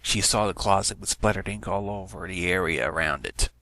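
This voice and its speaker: A man's voice: low-pitched, brisk, pushed and bright, no room sound. A man reading fan fiction aloud as a scripted story narration.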